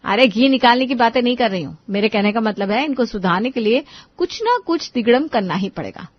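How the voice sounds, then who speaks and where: A woman's voice: a little high, animated, fairly quick, no room sound, muffled recording. A woman voicing a scheming, complaining young daughter-in-law in a cartoon story. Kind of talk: acted dialogue in a cartoon story.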